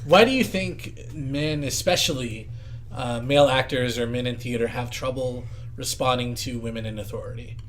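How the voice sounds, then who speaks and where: A man's voice: mid-range voice, moderate pace, slight background noise. A man co-hosting a casual interview, asking curious, probing questions. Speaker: podcast co-host.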